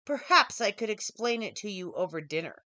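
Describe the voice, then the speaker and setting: Woman voicing a slow, suave mysterious man. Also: medium pitch, lively intonation, sharp tone, slight background noise. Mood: suave, composed. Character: suave mysterious male professor, smooth and slyly courteous. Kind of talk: audiobook character dialogue.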